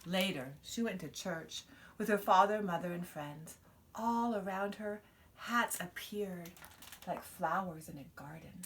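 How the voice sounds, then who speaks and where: A woman reading a children's picture book aloud, expressively, with dramatic pauses and emphasis. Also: fairly low pitch, fairly bright tone, a little hiss or hum. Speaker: storybook reader.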